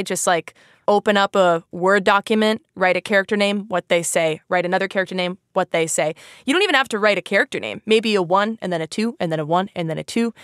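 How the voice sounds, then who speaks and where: A woman's voice: mid-range voice, fairly bright tone, clean tone, no room sound, clean studio sound. A woman hosting a casual educational podcast, chatting informally with plenty of "like" and an easy laugh. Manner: giggly, laughs easily. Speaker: podcast host.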